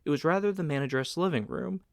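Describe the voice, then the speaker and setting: A man's voice: fairly high pitch, fast, creaky, no room sound, clean studio sound. A man reading literary fiction aloud as an audiobook narrator, in scripted narration.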